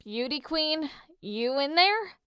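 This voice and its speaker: A cheeky teenage boy from an adventure story, acted out by a woman, pitched high for a lad. He teases and pushes with brash confidence, recorded very dry. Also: sing-song, slow pace, sharp tone, no echo, exaggerated voice. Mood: teasing. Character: Leo, cheeky, teasing teenage boy demigod. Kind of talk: dramatic reading of a fan story.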